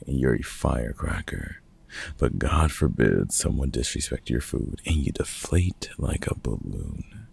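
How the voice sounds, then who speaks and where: Guy doing a grumpy older monster hunter for audio roleplay, super low raspy voice, sarcastic but caring, takes his time. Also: dark tone, heavily acted. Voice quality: gravelly, husky. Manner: dry, teasing, sardonic. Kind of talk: audio roleplay acting, comfort ASMR.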